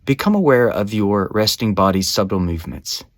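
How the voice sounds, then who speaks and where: Low-pitched man guiding a meditation. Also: lively intonation, no echo, studio quality. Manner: measured, instructional, soothing phrasing. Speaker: meditation guide. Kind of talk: scripted guided meditation narration.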